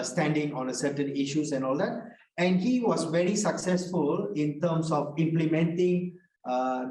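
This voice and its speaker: A man's voice: a little high, darkish tone. A man answering on a panel, talking conversationally and hesitantly with many fillers. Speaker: panel speaker. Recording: echoey, distant video call audio.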